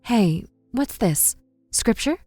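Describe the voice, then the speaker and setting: A woman playing a caring, cautious and scholarly young woman in an audio roleplay drama, with a gentle, pensive manner. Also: fairly high pitch, animated, takes it slowly, faint music behind.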